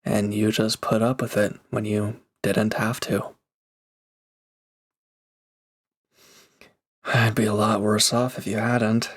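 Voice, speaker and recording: A man's voice: lowish voice, level tone, unhurried. A man playing a reformed villain turned mercenary in an intimate roleplay audio drama, speaking casually and hesitantly with awkward, earnest gratitude. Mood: sheepish, grateful.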